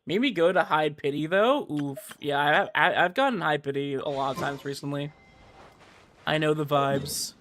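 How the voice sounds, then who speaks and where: A man's voice: on the high side, moderate pace, fairly bright tone, a little hiss or hum. A man livestreaming a game, chatting casually and giving commentary in a slangy, informal way full of filler words. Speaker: livestreamer playing a gacha game.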